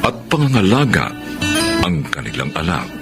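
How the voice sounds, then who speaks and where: A Filipino man narrates a radio drama in a solemn, theatrical style. His voice is deep and gravelly, with slow, deliberate delivery. Loud music plays beneath him. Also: huge pitch swings.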